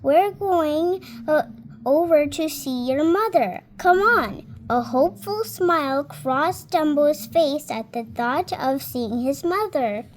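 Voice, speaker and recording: A girl of about seven reading a storybook aloud in a careful, halting, word-by-word way. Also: high voice, expressive, slight background noise. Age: child, about seven. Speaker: young reader.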